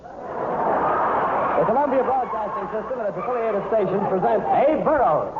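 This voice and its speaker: A man's voice: high-pitched, animated, measured pace, loud music behind the voice. A man working as a network announcer in 1940s radio style. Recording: tinny echoey 1940s radio, loud noise, crowd.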